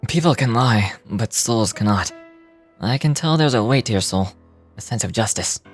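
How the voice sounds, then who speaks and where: Stern man of honour for a fantasy game. Lowish, solemn, formal delivery, faint music underneath. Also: lively intonation, moderate pace, breathy edge. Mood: solemn, appraising. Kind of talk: scripted game dialogue. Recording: game audio with music behind.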